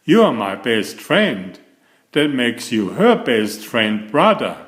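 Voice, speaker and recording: An earnest young bear cub from a children's storybook, acted out by a man. He is a sensitive big brother who speaks emphatically, with big swings in pitch. The sound is roomy. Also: big pitch swings, slow pace, fairly bright tone. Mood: proud, friendly.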